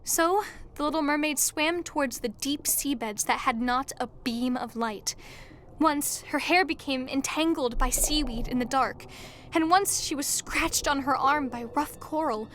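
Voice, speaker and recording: A woman's voice: sits high, a little slow, fairly bright tone, a little hiss or hum. A woman narrating a fairy tale as a scripted storyteller in an audio drama. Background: music.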